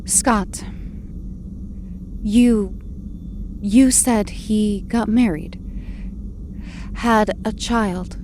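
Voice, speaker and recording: An actress playing an anguished but determined heroine in an audio drama, with an emotional, pleading delivery. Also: female voice, mid-range voice, steady tone, extremely slow, pauses often, a little mellow, a little hiss or hum. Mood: shaken. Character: anguished, determined heroine back from presumed death.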